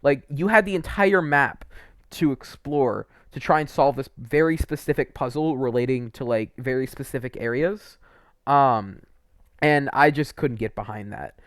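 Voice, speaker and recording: A man's voice: medium pitch, normal speed, fairly bright tone, no echo, studio quality. A young man reviewing video games in a casual, opinionated, conversational way, leaning on words for effect. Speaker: video game reviewer and host. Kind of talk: casual opinionated ranking commentary.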